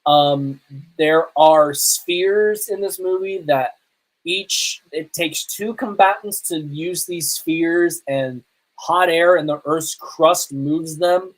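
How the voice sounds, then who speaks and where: Give a mid-pitched podcast host reviewing movies in a casual, conversational way. The host speaks as an opinionated fan, laying out views informally. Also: slow, low-quality recording. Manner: opinionated self-confessed fan.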